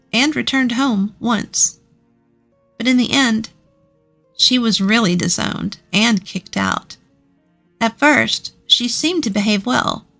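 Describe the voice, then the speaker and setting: A woman's voice: a little high, creaky, fairly bright tone. A woman reading a scripted first-person story as its narrator.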